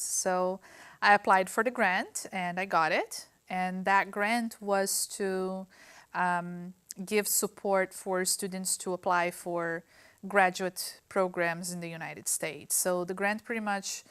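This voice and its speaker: A woman with a Brazilian Portuguese accent, whose first language is Portuguese, talks about her path as a film professor. Her voice is smooth and clear and sits slightly low, and she speaks in a friendly way, often pausing with ums. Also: steady tone, fairly bright tone. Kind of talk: interview answers.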